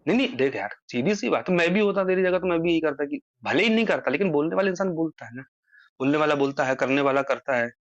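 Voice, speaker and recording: A man talks quickly and earnestly over a phone line, pressing his points and lifting his voice to stress them. The sound is dry and a little muffled, as if from a phone call recording. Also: on the high side, no room sound. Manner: earnest, explaining at length, rising emphasis. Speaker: social media creator on a phone call. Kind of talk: casual phone conversation.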